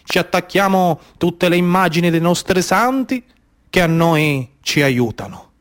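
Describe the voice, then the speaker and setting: A man with a Sicilian accent performing a theatrical storytelling monologue in a rhythmic, incantatory manner, with repeated phrases and dramatic pauses. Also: sits high, animated. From Sicily, Trapani area. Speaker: actor and storyteller.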